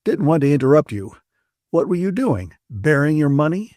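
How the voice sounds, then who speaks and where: A man's voice: sing-song, moderate pace, darkish tone, no echo, studio quality. An audiobook narrator voicing a teasing, wisecracking young man who lectures his friend in a playful, mocking way. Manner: mock-lecturing, bantering, high and lilting. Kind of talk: audiobook character voice.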